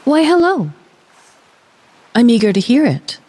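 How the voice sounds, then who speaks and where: A woman's voice: fairly high pitch, sing-song, unhurried, mellow, breathy edge, full character voice. A woman playing a proud, indignant village elder in a fantasy game, with formal, commanding delivery. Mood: resolute. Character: proud, indignant female village elder rallying her people. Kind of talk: fantasy video game acting. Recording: game music behind the voice.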